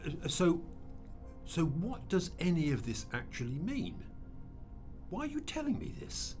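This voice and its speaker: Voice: mid-range voice, wildly swooping pitch, a little slow, a little mellow, soft background music. An actor in a radio drama playing a bewildered, defensive dead businessman who speaks in a hesitant, plaintive way. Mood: baffled, plaintive.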